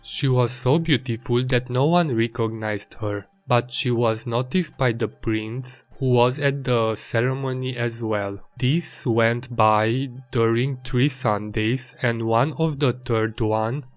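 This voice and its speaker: A man narrates a scripted voice-over for an art video. He speaks slowly at a medium pitch, in a plain and measured manner. Faint music plays behind him. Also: steady tone, no echo. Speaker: illustrator and video creator.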